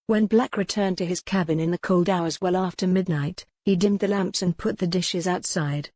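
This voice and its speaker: Low-voiced woman narrating romance fiction. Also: normal speed, darkish tone, dry recording, clean studio sound. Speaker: audiobook narrator.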